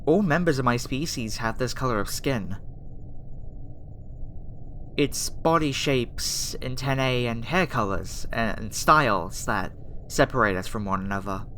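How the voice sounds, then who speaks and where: Woman acting as an earnest, low-voiced alien girl. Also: animated, measured pace, fairly bright tone, a little hiss or hum, full character voice, rough recording. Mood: curious. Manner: hesitant, stammering, reassuring. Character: earnest, easily flustered alien girl researcher abducting a human. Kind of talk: ASMR roleplay voice acting.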